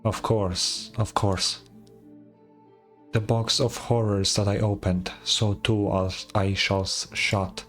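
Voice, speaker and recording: A man's voice: on the low side, flat delivery, takes it slowly, a bit bright, faint music behind. A man playing a weary, resolute god-king in a fantasy game, speaking in a formal, regal manner. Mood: solemn. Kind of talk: dramatic fantasy game dialogue.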